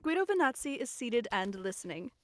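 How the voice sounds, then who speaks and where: An eager young-at-heart novice actress in a radio play. Her high voice is polite and careful, with a formal read-aloud delivery. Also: sing-song, brisk. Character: eager novice actress reading stage directions. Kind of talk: theatrical radio play acting.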